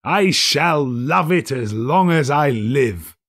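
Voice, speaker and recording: A man's voice: mid-range voice, lively intonation, fairly bright tone, voice pushed up, full character voice. A man voicing an elderly reformed miser for an audiobook, giddy and gushing with delight, drawing words out in exclamations. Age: older. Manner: exclamatory, drawn-out, gushing delivery. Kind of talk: dramatised audiobook character voice.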